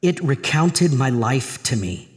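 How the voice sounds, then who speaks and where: A male actor plays a troubled, haunted man telling his own horror story. He narrates dramatically in the first person, in a mid-pitched voice suited to a chilling audio drama. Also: sing-song, slow pace, big-room sound. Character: Arthur, troubled married man haunted by a drain.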